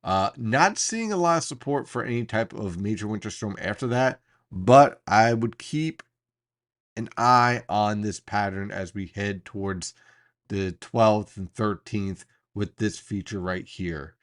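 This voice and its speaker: Man, lowish pitch, weather forecaster, unscripted briefing, explanatory, emphatic phrasing, deliberate pauses.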